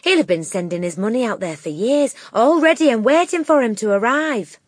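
A woman puts on a gentle schoolteacher from a storybook. She is calm and caring, speaking softly and with measured care. The voice is husky, expressive and recorded dry. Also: on the high side, no room sound, pitched above the performer's own voice. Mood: matter-of-fact. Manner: quiet, soft, measured.

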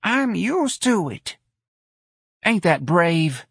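A man voicing a sentimental, fussy older mother, talking in a high, pleading, chatty way. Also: very animated, very slow, vocal fry, a bit bright, husky, dry recording, clean studio sound, heavily acted. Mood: pitying. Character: fussy elderly working-class mother, sentimental.

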